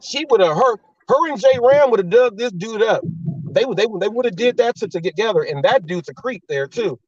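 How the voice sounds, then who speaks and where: A high-pitched man hosting a talk show, talking bluntly and cursing freely, circling back to hammer the same point. His voice sounds dull and set back from the mic, with no room echo. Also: lively intonation, brisk, distant mic. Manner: blunt, swearing, repeats himself when worked up. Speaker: host. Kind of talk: heated casual talk show chat.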